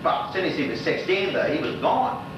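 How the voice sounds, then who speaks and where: An actor playing a lonely old widower in a stage monologue, chatting wistfully and rambling in a folksy Bermudian dialect. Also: male voice, sits high, big pitch swings, brisk, distant mic. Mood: fond, amused. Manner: rambling, folksy, gossipy storytelling. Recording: echoey stage recording from afar, noisy, dull.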